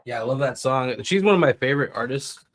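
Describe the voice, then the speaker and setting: A man's voice: medium pitch, animated, quick pace, fairly bright tone. A man co-hosting a podcast and talking casually. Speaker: podcast co-host. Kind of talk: casual chat.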